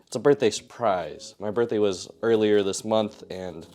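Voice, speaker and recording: A man from the Seattle area vlogging about opening trading cards at home, his voice hoarse and still recovering, talking in a chatty, rambling way that keeps correcting itself. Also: on the low side, normal speed, a little mellow. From Seattle area, USA. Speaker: card-collecting vlogger. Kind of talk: casual vlog talk to camera.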